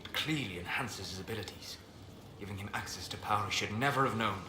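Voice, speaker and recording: An actor playing a calm, reserved elven mage advisor in a video game, speaking in measured, formal phrases. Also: male voice, lowish voice. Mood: measured, courteous. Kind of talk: video game dialogue acting. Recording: roomy echo, clear background noise.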